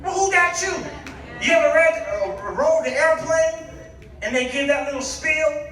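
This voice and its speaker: Animated high-pitched male preacher in echoing hall. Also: measured pace. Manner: dramatic, repeats punchlines, acts out dialogue. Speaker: guest preacher. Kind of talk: animated sermon with repetition and call-and-response. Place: church sanctuary before a responding congregation. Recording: very echoey hall, distant microphone.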